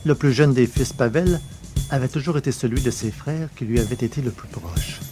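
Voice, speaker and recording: A man's voice: medium pitch, normal speed, over music. A man narrating a scripted science fiction adventure story in a literary, storytelling style.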